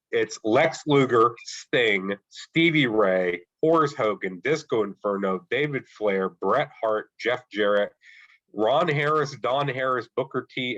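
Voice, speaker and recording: A man's voice: lowish voice, fairly bright tone, muffled recording. A man co-hosting a casual wrestling podcast, stuffy from a cold and thoughtfully weighing his choices. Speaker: podcast co-host.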